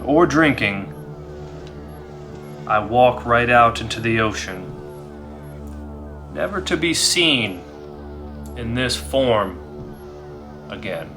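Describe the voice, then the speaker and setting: A man's voice: mid-range voice, pushed and bright. A man who hosts a gaming channel, talking to his audience and telling stories of solo play. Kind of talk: dramatized horror story narration and casual review.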